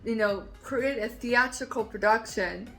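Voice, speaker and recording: Woman vlogger rambling excitedly, likes and ums everywhere, faint music behind. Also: fairly high pitch, a little slow, poor audio. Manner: enthusiastic, rambling with many fillers. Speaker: vlogger and composer. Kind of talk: casual chat.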